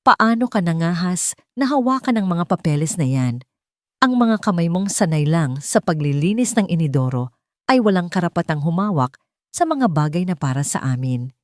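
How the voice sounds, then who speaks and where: A woman's voice: lowish voice, big pitch swings, normal speed, no room sound, studio quality. Woman voicing a haughty, spiteful rich woman. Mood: contemptuous rage. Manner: scornful, accusing.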